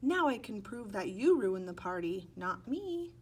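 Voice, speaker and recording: A woman's voice: medium pitch, very animated, measured pace, a little mellow, husky. A woman playing an earnest, put-upon schoolboy who insistently protests his innocence. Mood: urgent. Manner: insistent, exclaiming.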